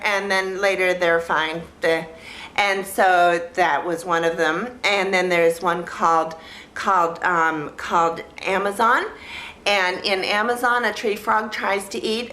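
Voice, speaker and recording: A woman with a bright, forward voice talks slowly in an echoey room. Her delivery is childlike and naive, built from simple sentences. She rambles casually as a vlog host, often repeating a phrase while she looks for the next word. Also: mid-range voice. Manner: halting, repeats phrases as she searches for words. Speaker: vlog host reviewing films. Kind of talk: casual rambling talk to camera.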